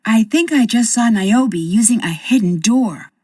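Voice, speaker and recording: An actress voicing a confident young American amateur detective who asks direct, probing questions with dry wit. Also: female voice, on the high side, lively intonation, unhurried, dark tone, no room sound, recorded from a distance. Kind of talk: video game voice acting.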